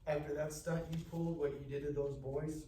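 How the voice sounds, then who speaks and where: A man's voice: a little high, level tone, brisk, mellow, close to an everyday voice. A man acting a stern, fed-up small-town mayor at a live table read, firm and admonishing. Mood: stern, exasperated. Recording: echoey, distant room mic with faint noise.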